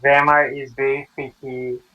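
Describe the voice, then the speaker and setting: Young Bangladeshi male, non-native English, halting exam-candidate delivery, mid pitch. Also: level tone, sharp tone, lo-fi sound. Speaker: test candidate. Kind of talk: practice speaking test.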